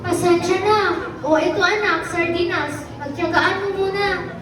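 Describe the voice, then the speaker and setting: A woman playing a long-suffering, apologetic seamstress mother who pleads gently in an amateur radio drama. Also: very high voice, moderate pace, music in the background. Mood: apologetic pleading. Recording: echoey, distant, noisy amateur recording.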